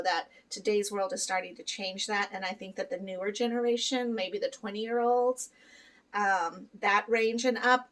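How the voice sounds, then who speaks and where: A middle-aged woman from Peru talks straight to her viewers in a relaxed, chatty way. She is earnest and encouraging and often pauses on "um" and "you know." Her voice sits a bit back from the mic. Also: mid-range voice, recorded from a distance. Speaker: vlogger sharing life with kidney disease. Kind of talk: casual chat to camera.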